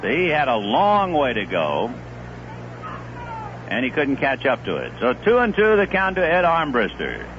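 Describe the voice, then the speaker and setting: A man calling baseball play-by-play on a 1970s radio broadcast, in a steady, conversational manner. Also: measured pace. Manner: steady, conversational play-by-play with live pitch calls. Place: stadium broadcast booth. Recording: muffled 1970s AM radio broadcast with faint hiss.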